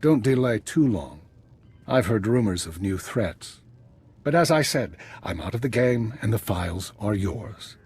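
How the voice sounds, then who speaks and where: An actor playing an older retired male spymaster in a video game, dry and courteous, speaking in a formal, measured, understated way. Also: fairly low pitch, lively intonation, a little mellow, husky, full character voice. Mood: formal, grave. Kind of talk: cinematic video game dialogue.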